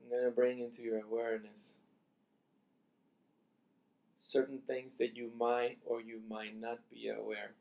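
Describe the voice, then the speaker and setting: A man leading a guided meditation, speaking softly and deliberately in short phrases with long pauses, as a teacher giving calm instructions. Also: medium pitch, creaky, low-quality recording.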